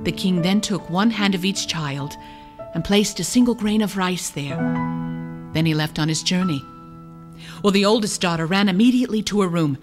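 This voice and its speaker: A woman telling a children's fairy tale in an expressive, deliberate, dramatic storytelling manner. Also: fairly low pitch, music in the background. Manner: dramatic, deliberate, acts out characters.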